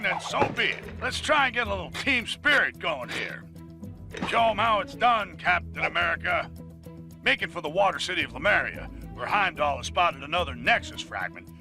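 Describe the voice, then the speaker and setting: An actor playing an excitable, worried commentator narrating a video game story in an urgent, chatty way. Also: male voice, sits high. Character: excitable worried male commentator following the heroes.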